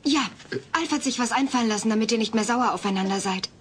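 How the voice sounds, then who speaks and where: A young actress playing a helpful teenage daughter in a sitcom audio play, polite and chirpy. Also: female voice, a little high, fast, slight background noise, lightly acted.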